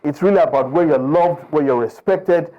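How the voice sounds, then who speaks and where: A male sports show host, briskly affirming and summing up the guest's points. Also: fairly high pitch, dull audio. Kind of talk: broadcast sports talk.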